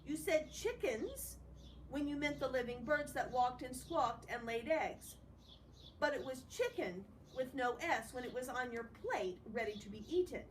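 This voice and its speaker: An American woman reading a story aloud like a teacher, expressive and explanatory, breaking off for casual commentary. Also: high-pitched, unhurried. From United States. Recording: distant mic, noisy room ambience.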